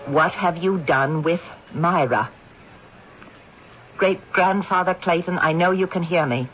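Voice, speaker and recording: An actress playing a spirited unmarried woman who owns an old house, brisk and exasperated with the ghost and polite with her guests, in a 1970s radio drama. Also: on the low side, a bit bright. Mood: pleading. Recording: dull old radio broadcast with faint hiss.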